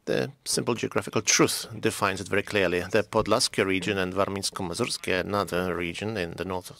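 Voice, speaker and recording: A man interprets formal conference speeches in English with a low, gravelly voice. His delivery is steady and measured. Now and then his voice thins into a near-whisper. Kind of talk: simultaneous interpretation.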